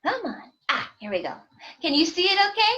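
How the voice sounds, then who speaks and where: An upbeat woman hosts a relaxed language podcast, her high voice bright and ringing. She talks slowly and laughs easily between questions, heard over a video call. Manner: bubbly, laughs often. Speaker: host and English teacher. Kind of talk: casual podcast lesson chat.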